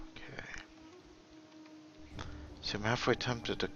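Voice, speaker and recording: A man giving casual gameplay commentary, thinking aloud in a halting, musing way and often trailing off mid-thought. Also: sits low, vocal fry, highs cut off. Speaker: gaming video host.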